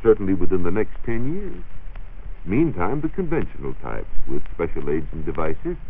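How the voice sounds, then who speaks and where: A man's voice: deep voice, sing-song, moderate pace, slightly rough. An American man working as a science reporter, giving a semi-scripted talk in 1940s radio style on an old broadcast recording. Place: radio studio. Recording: muffled, narrow-band 1940s radio recording.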